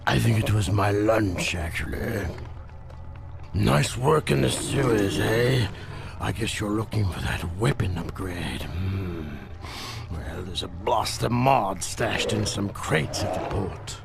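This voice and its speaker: A man's voice: on the low side, lively intonation, slow pace, vocal fry, full character voice. A man voicing a greedy, gluttonous crime boss in a video game, scheming and blustery, ending remarks with "eh?". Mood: casual, self-satisfied. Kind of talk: video game cutscene acting.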